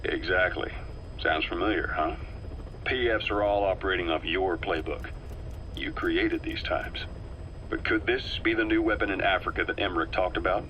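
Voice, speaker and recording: A shrewd intelligence officer from a war game, speaking in a deep voice with a bright, forceful edge. His delivery is measured and persuasive, with a dry sardonic streak. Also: a little hiss or hum, poor audio. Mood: analytical. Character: shrewd male intelligence officer, calm and knowing. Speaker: advisor on a recorded tape. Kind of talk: video game voice acting.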